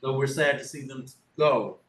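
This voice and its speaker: A man's voice: medium pitch, normal speed, fairly bright tone. A man speaking as a school superintendent at a board meeting, casual and folksy in manner. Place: meeting room. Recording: echoey room, distant mic, faint noise.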